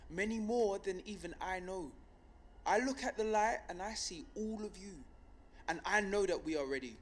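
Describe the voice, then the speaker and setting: Solemn rebel radio broadcaster, deliberate man. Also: high voice, fairly bright tone. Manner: deliberate, solemn, rhetorical repetition. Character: earnest male pirate radio broadcaster rallying a city to revolt. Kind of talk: dramatic scripted radio monologue.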